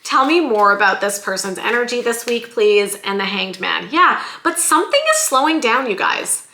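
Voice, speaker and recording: A woman giving a weekly tarot love reading in a chatty, lively way, her voice going a little hoarse. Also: a little high, moderate pace, echoey room. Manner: chatty, lively, says "like" and "you guys" often. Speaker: tarot reader.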